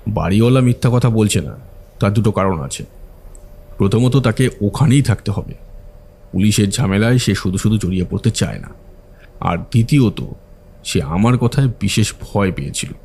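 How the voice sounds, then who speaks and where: A man playing a methodical, earnest detective in an audio drama, explaining his reasoning deliberately. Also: on the low side, animated, vocal fry, a little mellow, slightly rough, exaggerated voice. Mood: analytical, assured. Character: methodical male detective reasoning through a case, earnest, analytical. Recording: music behind the voice.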